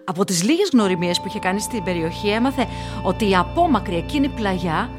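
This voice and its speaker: An actress playing a studious investigator piecing together a dark mystery, composed and precise in radio-play style. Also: female voice, medium pitch, expressive, over music, close to an everyday voice. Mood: wistful storytelling. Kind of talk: theatrical radio play narration.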